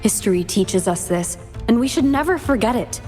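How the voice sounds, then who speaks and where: A resolute, humane woman leader from a video game, played by an actress. Her voice sits a little high and she speaks in earnest, measured speeches. Faint music plays behind her. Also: expressive, a little mellow, lightly acted. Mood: emphatic. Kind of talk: scripted game voice acting.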